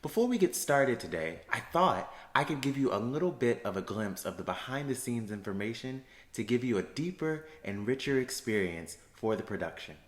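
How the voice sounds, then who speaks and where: A man's voice: medium pitch, lively intonation, normal speed, hardly any pauses. A man working as a theater education associate gives upbeat, friendly explanations to students. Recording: roomy echo with soft music.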